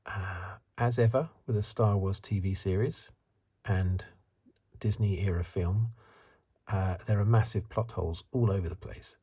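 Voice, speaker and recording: A man speaking in a soft, breathy, partly whispered ASMR voice, musing hesitantly as he gives a casual review. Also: sits low, a little slow. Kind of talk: soft-spoken ASMR commentary.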